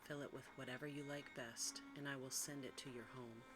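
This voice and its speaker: Softly voiced stern monster, blunt and hushed. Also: mid-range voice, fairly even intonation, a little fast, over music, lightly acted. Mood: commanding. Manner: blunt, commanding, formal old-fashioned speech. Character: stern, threatening male beast monster. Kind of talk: hushed ASMR storybook reading.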